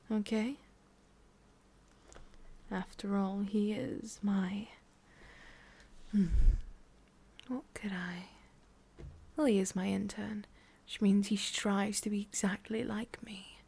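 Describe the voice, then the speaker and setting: A woman acts out a cruel female boss with an English accent in an ASMR roleplay. She speaks slowly in a breathy, husky murmur at a medium pitch. Her manner is condescending and menacing, with long, deliberate pauses. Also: fairly even intonation, mellow, full character voice. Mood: mocking, cruel.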